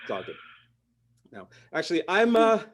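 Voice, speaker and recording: Comic guy, lively pitch going up and down, deadpan punchlines, bit high for a man. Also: normal speed, slight background noise. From Canada. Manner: deadpan punchlines, mock voices. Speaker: stand-up comedian; parent. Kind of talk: stand-up comedy set.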